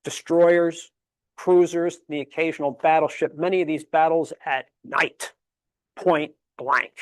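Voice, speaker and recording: A man's voice: fairly high pitch, fairly even intonation, unhurried, no echo. A man giving a history lecture at a public library, emphatic and fond of rhetorical questions, rattling off dates and figures. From Connecticut, USA. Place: library meeting room.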